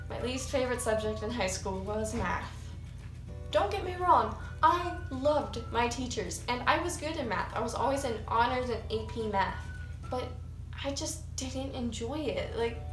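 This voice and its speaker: A chatty eighteen-year-old woman with an American accent talks to her viewers, her voice sitting a bit high. She sounds a few feet from the camera in a small room with bounce off the walls, and faint music plays underneath. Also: reverberant, distant mic, rough recording. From United States. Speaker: YouTuber. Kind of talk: casual get-to-know-me vlog. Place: small room with cinder block wall and couch, indoors. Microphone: camera mic a few feet away.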